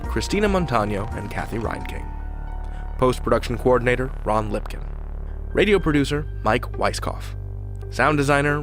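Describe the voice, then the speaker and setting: A male podcast host with a lively, animated pitch reads with measured polish. Clear music plays under his voice. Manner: measured, polished announcer delivery. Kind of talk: interview questions and show outro.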